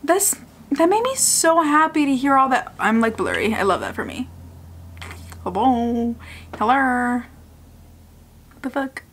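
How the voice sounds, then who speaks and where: A bubbly young woman talks through a video she is reacting to, gushing and swearing lightly. Her voice sits a little high. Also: very animated, unhurried, pauses often, a bit bright, slight background noise. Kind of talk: casual reaction commentary.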